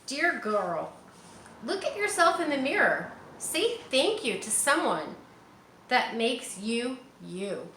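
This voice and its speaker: A woman's voice: high-pitched, very animated, slow pace, bright, forceful tone. A woman reading a picture book aloud to children, speaking to them directly and encouragingly with casual asides. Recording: roomy echo, faint background noise.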